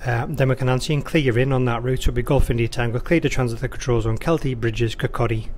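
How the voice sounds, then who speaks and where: A man with a medium-pitched voice gives an informal walkthrough as an air traffic controller. He explains things conversationally and slips into clipped radio phraseology. There is noticeable room noise behind him. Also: fairly even intonation, fast talker, darkish tone. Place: indoor control room or workstation, beside a touchscreen.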